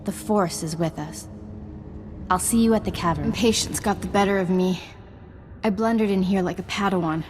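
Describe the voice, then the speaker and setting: A woman playing a composed, resolute Jedi master in a video game, speaking in a measured, earnest and authoritative way. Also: medium pitch, background noise, lightly acted. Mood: focused. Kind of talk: scripted video game acting. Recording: game music behind.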